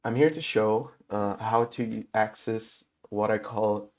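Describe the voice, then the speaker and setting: A man who is sick with a cold, talking through a casual game tutorial in English, his second language, with many pauses and filler sounds. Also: on the low side, darkish tone. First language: not English. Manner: halting, many filler uhs. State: has a cold. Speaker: gaming video host.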